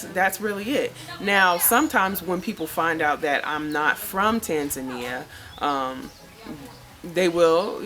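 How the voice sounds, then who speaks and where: Low-voiced woman chatting frankly outdoors. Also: fairly bright tone, a little hiss or hum. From United States. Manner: chatty, frank, lots of fillers. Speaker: vlogger. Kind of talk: casual personal vlog.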